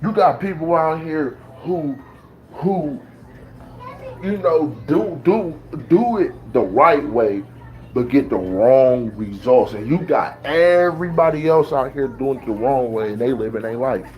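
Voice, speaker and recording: A man's voice: medium pitch, expressive, unhurried, lo-fi sound. A man from Ohio, ranting casually in loose, slangy talk and preaching his views. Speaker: beatmaker talking to camera. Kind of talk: casual rant.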